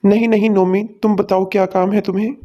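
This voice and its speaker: A woman voicing a mild-mannered young husband in a cartoon, calm and soothing. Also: medium pitch, brisk, dull audio, lightly acted.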